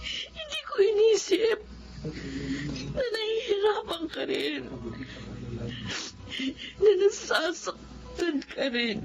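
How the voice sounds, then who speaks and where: A woman's voice: very high-pitched, expressive, slow, pauses often, dull audio, over music, exaggerated voice. A woman playing a distraught, guilt-ridden grown child in a Filipino radio drama, with an emotional, pleading delivery. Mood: weeping, remorseful.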